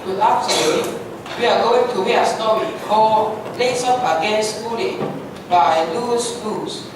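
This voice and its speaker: A woman's voice: medium pitch, a little slow. A young woman reading scripted story narration aloud in a readers' theatre performance. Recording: very echoey hall, distant mic, noisy.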